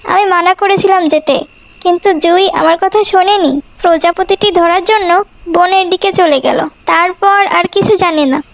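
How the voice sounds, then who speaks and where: A woman's voice: extremely high pitch, steady tone, normal speed, low-quality recording. A woman voicing an earnest, cautious young village girl in a cartoon. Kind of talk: cartoon acting.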